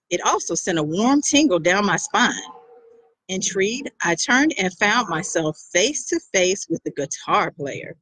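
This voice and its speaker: A woman author reading a chapter of her own romance novel aloud. Her reading is expressive, and her pitch swings widely. The sound is dry and close to the mic. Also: husky, no echo, poor audio.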